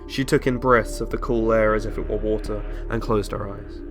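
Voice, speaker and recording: A man's voice: lowish voice, steady tone, moderate pace, music in the background. A man narrating a scripted story as a dramatic audiobook reading.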